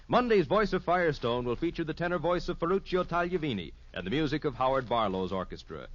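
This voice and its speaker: Male network announcer, lively sweeping intonation, scripted promo read on muffled old radio. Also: medium pitch.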